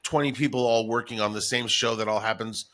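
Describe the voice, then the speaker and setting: A man's voice: fairly low pitch, steady tone, fairly quick, a bit bright. A middle-aged man talking casually and enthusiastically as a guest in an interview, reminiscing in a chatty way. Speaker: radio morning show comedian, guest.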